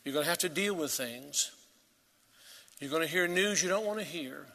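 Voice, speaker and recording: A man preaching a sermon as pastor, earnest and emphatic, swelling to loud peaks and dropping to quiet. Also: a little high, moderate pace, vocal fry, long reverb tail.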